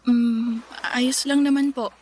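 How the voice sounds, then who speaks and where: A woman's voice: fairly high pitch, steady tone, slow pace, darkish tone, a little hiss or hum, rough recording. A woman playing a guilt-ridden, withdrawn young woman in a radio drama, speaking politely and confessionally. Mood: dejected. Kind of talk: Filipino radio drama dialogue.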